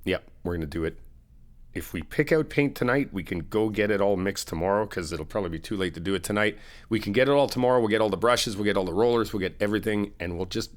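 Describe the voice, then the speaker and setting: A middle-aged man in an ASMR friend roleplay, talking in an easygoing, reassuring, chatty way with soft laughs. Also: fairly low pitch. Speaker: ASMR roleplay creator playing a best friend.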